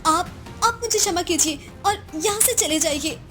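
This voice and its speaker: A woman's voice: very high-pitched, lively intonation, moderate pace, music in the background. A woman voicing a humble young wife of a poor woodcutter in a cartoon story, hesitant and imploring. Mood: frightened, pleading. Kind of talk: Hindi moral-story cartoon dialogue.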